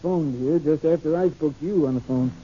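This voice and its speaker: An actor playing a wounded, gullible friend who is being blackmailed, in an earnest 1940s radio drama style. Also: male voice, on the high side, a little fast, warm tone, lightly acted. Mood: hurt. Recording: muffled, noisy 1940s radio broadcast.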